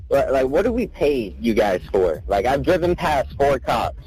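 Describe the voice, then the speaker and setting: A nineteen-year-old man complaining casually on a recorded emergency call, halting and indignant, peppering his speech with "like". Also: mid-range voice, very animated, a little mellow, soft background music, rough recording. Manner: halting, repetitive filler "like", indignant. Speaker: emergency line caller. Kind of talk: rambling, casual phone complaint.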